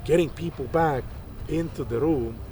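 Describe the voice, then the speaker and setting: A man's voice: fairly high pitch, moderate pace. Man in his early forties. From Estonia. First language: Estonian. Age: early 40s. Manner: animated storyteller, acts out quoted dialogue. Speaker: stand-up comedian guest. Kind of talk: casual interview. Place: park outdoors. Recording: clear background music.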